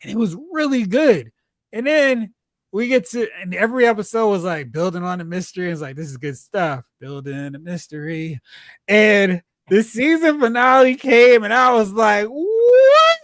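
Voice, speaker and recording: A man hosting a casual chat about TV and film, telling stories in an animated, exclamatory way. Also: sits high, moderate pace, dry recording, studio quality. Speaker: podcast host.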